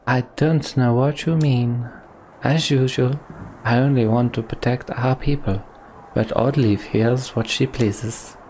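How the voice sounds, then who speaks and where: Man playing a slow, sneering fantasy lord. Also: mid-range voice, steady tone, darkish tone, slight background noise, close to an everyday voice, low-quality recording. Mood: smug. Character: scornful, scheming ambitious male rival lord.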